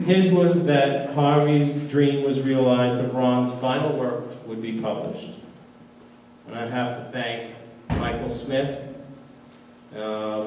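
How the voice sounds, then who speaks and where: Slow earnest man introducing speakers, reverberant hall, distant mic. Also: mid-range voice, darkish tone. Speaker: event host introducing speakers. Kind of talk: speech at an event. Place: large event room. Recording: echoey hall, mic far off, faint noise.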